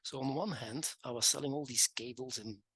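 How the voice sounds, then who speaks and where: A man in his fifties from the Dutch-speaking part of Belgium, with a Flemish accent, telling his story as a guest in a relaxed, casual way with many fillers. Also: mid-range voice, fairly quick, breathy edge, dry recording. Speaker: guest economist. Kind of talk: casual interview talk.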